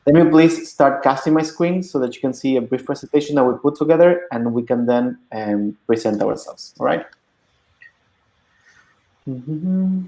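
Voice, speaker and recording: A man in his thirties from Argentina, a bootcamp instructor presenting a webinar in a relaxed way while setting up his slides. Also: mid-range voice, moderate pace, echoey room. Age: 30s.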